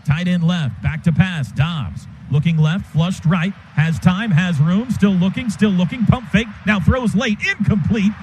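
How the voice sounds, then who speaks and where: A man calling a football game play by play for radio, in quick clipped bursts that jump up on big plays. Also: high-pitched, darkish tone. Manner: rapid, clipped calls that rise sharply on big plays. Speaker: play-by-play announcer. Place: stadium radio booth. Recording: noisy stadium radio broadcast.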